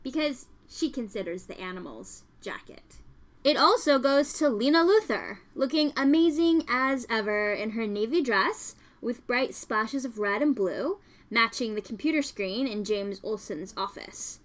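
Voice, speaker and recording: Higher-voiced woman co-hosting a tongue-in-cheek podcast. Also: animated, measured pace. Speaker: podcast co-host. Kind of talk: casual banter and scripted review segments.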